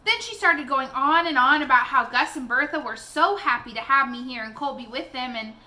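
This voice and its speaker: A woman reading a children's novel aloud in an expressive storytelling style. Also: high-pitched, normal speed.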